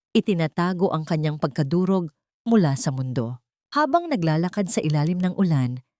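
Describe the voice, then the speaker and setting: A woman's voice: sits low, a little mellow, no room sound, clean studio sound. A woman narrator reading a scripted, dramatic story as an audio drama.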